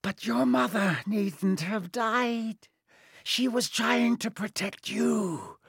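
Storybook villain: bodiless evil wizard, high-pitched, hissing, slow and menacing. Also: dry recording, clean studio sound, exaggerated voice. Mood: mocking, menacing. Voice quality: high, hissing, breathy whisper at times. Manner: slow, drawn-out, menacing delivery. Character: evil high-voiced disembodied dark wizard face, cold and menacing.

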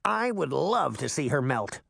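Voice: mid-range voice, sing-song, measured pace, vocal fry, fairly bright tone, breathy edge, dry recording, studio quality, exaggerated voice. A narrator voicing a mischievous, bratty boy who delights in chaos. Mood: gleeful. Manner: gleeful, taunting.

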